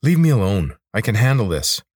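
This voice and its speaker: A man's voice: mid-range voice, sing-song, fairly quick, breathy edge, no room sound, studio quality, voice pushed up. A man playing a young, impatient adventure hero in an audiobook, blunt and demanding. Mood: irritated. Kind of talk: audiobook character voice.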